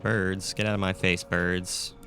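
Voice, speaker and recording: A man with a scratchy, raspy throat, casually commentating a video game as he plays. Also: deep voice, flat delivery, measured pace, pushed and bright, faint music behind. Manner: chatty, thinks aloud with fillers. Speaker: gameplay commentator. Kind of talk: casual let's-play commentary.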